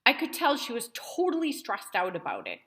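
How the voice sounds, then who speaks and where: A woman reads a children's novel aloud. Her voice is bright and crisp-edged, with very lively, wide-moving intonation as she narrates from a child's point of view. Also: fairly high pitch, brisk. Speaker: storybook reader narrating as a child.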